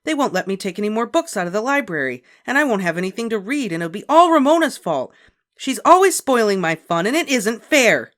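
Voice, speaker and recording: A woman's voice: medium pitch, big pitch swings, a little fast, fairly bright tone, pitched above the performer's own voice. A woman voicing a responsible older sister, a girl who complains in a raised, indignant voice.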